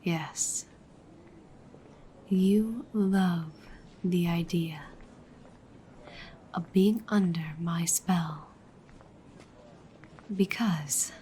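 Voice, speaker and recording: Woman acting a slow, whispery, dominant goddess girlfriend. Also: fairly low pitch, slight background noise. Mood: hypnotic, commanding. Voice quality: soft, breathy, much whispered. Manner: slow, coaxing, commanding. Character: dominant, teasing goddess girlfriend hypnotist, possessive and soothing. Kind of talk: ASMR roleplay.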